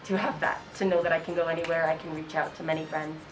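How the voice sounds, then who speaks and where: A young woman from Kenya answers interview questions in an earnest, thoughtful way, with plenty of fillers and restarts. Her voice sits a little low and sounds set back from the mic, as if heard from a short distance. Music plays clearly underneath her. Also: level tone, fast talker. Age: 20s. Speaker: school counseling intern. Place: outdoors on a bench at a school campus.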